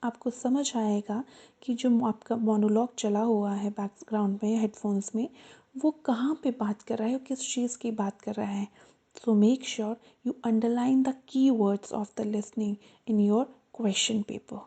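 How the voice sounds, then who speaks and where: A woman tutor giving an explanatory lesson to students, earnest and repeating her reminders, slowing down to spell out the key points. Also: on the high side, steady tone, warm tone, breathy edge. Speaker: exam-prep tutor.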